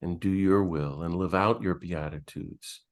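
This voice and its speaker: A priest with a deep, low voice leads a solemn prayer. He speaks in a slow, measured cadence. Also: fairly even intonation. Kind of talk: spoken prayer.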